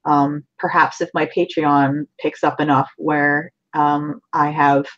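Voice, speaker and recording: Low-voiced chatty woman, dull audio. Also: flat delivery, takes it slowly, a bit bright. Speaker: artist, co-host. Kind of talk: casual livestream chat.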